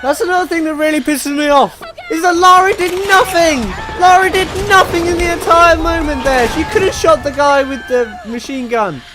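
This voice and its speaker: A young man giving a casual, rambling and opinionated video game review, animated and informal. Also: very high voice, normal speed, few pauses. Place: voice-over on gameplay footage. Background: game music.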